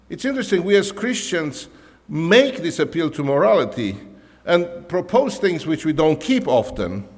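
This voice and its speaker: Romanian-accented man preaching in a reverberant hall. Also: fairly high pitch. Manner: conversational, many "you know" fillers and restarts. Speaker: pastor. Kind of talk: sermon.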